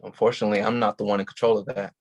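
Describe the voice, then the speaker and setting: A man's voice: lowish voice, flat delivery, rapid-fire, muffled recording. An actor playing a shaken, grieving male police officer in a staged play reading, raw and intense. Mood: subdued.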